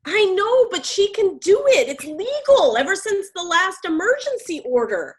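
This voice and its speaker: Actress doing nervous apologetic activist woman, high voice that swings around a lot, hesitant, zoom-style comedy play. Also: sing-song, moderate pace. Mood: defensive. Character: anxious, apologetic female activist with a strict wife. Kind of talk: comedic stage acting over video call. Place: at home on a video call.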